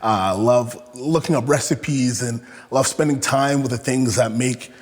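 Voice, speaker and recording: A man's voice: medium pitch, unhurried. A young man from Canada talking as an interview guest, reflective and hesitant, with many fillers and restarts. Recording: echoey room with long reverb.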